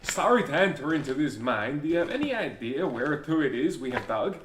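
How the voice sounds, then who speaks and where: Radio spoof: polite, breezy team leader, echoey sound with faint noise. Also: male voice, a little high, very animated, brisk. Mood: cheerful, apologetic. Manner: chirpy, awkwardly polite. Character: cheerful, apologetic Swedish male mining team leader. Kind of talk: old-time radio comedy acting. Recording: echoey, noisy with clicks.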